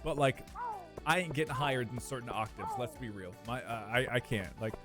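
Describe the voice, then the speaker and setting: A young man, chatting casually with his live-stream viewers, animated and prone to squeaky bursts of laughter. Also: fairly quick, over music.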